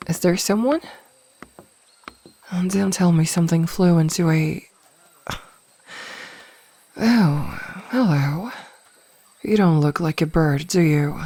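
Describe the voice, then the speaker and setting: A woman playing a possessive, teasing mage in an ASMR fantasy roleplay, speaking softly and coaxingly with condescending endearments. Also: on the low side. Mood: curious. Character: possessive, teasing female mage hypnotizing a burglar.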